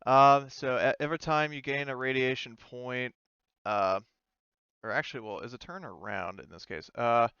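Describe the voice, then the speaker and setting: A man running a tabletop roleplaying game as game master, talking casually and haltingly as he works out the rules aloud. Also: medium pitch, sharp tone, clear, resonant tone, no room sound, highs cut off. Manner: halting, thinking aloud while working out rules.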